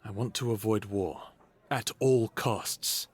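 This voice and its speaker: A man acting in an audio drama as an earnest, idealistic character who speaks haltingly and persuasively about change. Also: fairly low pitch, fairly even intonation, breathy edge. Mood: resolute. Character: earnest, idealistic young man, former captive urging peaceful change. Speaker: lead character and narrator. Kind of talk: audio drama acting with first-person narration.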